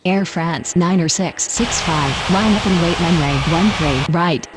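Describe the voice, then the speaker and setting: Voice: mid-range voice, unhurried, very noisy, lightly acted. A voice actor playing an airline pilot, speaking in formal radio phrases.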